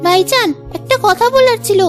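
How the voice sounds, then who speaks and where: A woman's voice: very high voice, moderate pace. A woman voicing a loyal, watchful young housemaid in a cartoon, speaking earnestly. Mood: anxious. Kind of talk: Bengali cartoon dub. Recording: music behind the voice.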